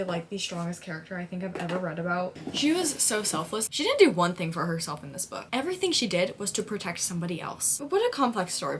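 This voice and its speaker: A woman's voice: mid-range voice, a little hiss or hum. Effusive, chatty woman vlogger. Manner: informal, effusive, emphatic, uses lots of "like". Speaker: book vlogger. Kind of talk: casual chat, emotional book reaction vlog.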